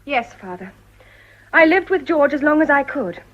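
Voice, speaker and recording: An actress playing a defiant, wronged young wife in a period radio drama, with formal, emphatic upper-class English speech. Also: female voice, high-pitched, big pitch swings, normal speed, fairly bright tone. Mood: cool, resolute. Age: young adult. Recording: dull, lo-fi radio recording.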